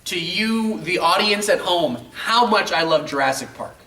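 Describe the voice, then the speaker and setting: A man's voice: sits high, expressive, moderate pace, sharp tone, distant mic. A man hosting a casual fan talk show, explaining things in an emphatic, geeky way and acting out remembered lines. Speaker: host of a video game and film talk show. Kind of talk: casual enthusiastic fan chat. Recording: roomy, off-mic, noticeable background noise.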